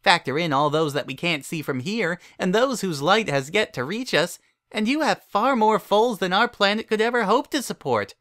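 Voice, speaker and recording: A little storybook filly, acted out by a man, pitched well up. The young pony is bratty and pedantic, picking everything apart with dismissive, sleepy arguments. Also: expressive, moderate pace, a bit bright, no room sound, clean studio sound. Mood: argumentative.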